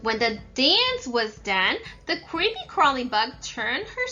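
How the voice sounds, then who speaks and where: A woman's voice: sits high, sing-song, slow pace, muffled recording, noisy background. A woman reading a children's story aloud and explaining it like a teacher.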